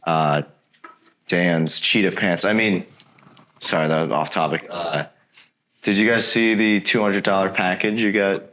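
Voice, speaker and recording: Deep-voiced joking male host. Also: measured pace, long pauses, sharp tone. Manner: joking, loose. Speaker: interview host. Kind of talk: casual interview. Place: rehearsal studio set. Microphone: lapel.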